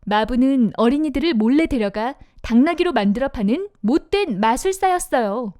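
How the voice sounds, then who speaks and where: A woman narrates a children's fairy tale in a high, animated voice. The delivery is scripted and expressive, in a close, dry recording. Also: normal speed, no echo. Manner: expressive storytelling for young children. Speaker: storybook narrator.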